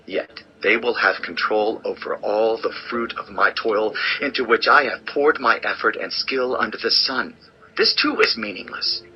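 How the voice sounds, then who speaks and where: A man's voice: fairly low pitch, muffled recording, close to an everyday voice. An actor playing a world-weary, wise old king in a solemn, theatrical dramatized scripture reading. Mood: bitter, despairing. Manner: solemn, deliberate, theatrical delivery. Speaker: audio Bible narrator and actor.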